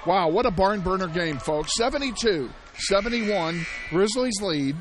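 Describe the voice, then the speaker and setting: A man calling a high school basketball game play-by-play, hoarse and losing his voice, shouting the big plays and chatting between them. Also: expressive, measured pace. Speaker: play-by-play announcer. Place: high school gym broadcast. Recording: loud gym crowd and noise behind.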